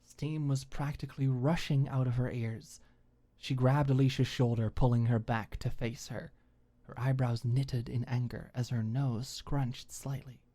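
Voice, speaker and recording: A woman's voice: extremely low pitch, steady tone, measured pace, mellow. A woman reading scripted story narration in an ASMR audiobook style.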